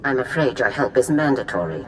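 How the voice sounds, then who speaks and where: A woman's voice: a bass voice, big pitch swings, a little fast, slight background noise, rough recording. A woman acting a stern middle-aged female general in a video game, clipped, formal and authoritative. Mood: grave, pressing. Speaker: military commander. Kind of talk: scripted game dialogue.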